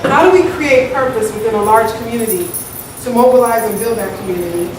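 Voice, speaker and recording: A woman's voice: a little high, fast talker. A woman moderating a community panel discussion in front of an audience, talking in a conversational way and often checking in with "right?". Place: large echoey event hall with an audience. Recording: echoey hall, distant mic, audible hiss.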